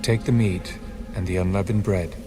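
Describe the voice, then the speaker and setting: A man playing a solemn, commanding angel in a dramatized scripture audio drama, speaking in measured, authoritative declarations. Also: low-pitched, fairly even intonation, over music, lightly acted. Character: solemn, commanding male angel of the Lord, divine messenger.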